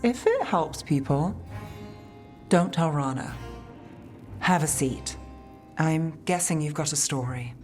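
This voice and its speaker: An actress playing a cool, world-weary, guarded detective in a video game, with dry, understated delivery. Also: female voice, low voice, big pitch swings, slow, pauses often, a little mellow, faint music behind, exaggerated voice. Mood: dry, composed.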